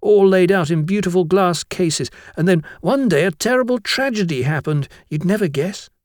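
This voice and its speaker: A man's voice: high-pitched, moderate pace, a little mellow, dry recording, clean studio sound, voice pushed up, natural voice. A man voicing a chatty, melodramatic young schoolboy in an earnest, insistent storybook performance. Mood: mournfully dramatic. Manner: earnest, insistent, addressing teacher as sir.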